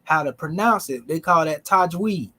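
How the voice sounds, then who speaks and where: A man, teaching a language class in a relaxed, folksy way. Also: fairly high pitch, moderate pace. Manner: relaxed, folksy, dialect grammar.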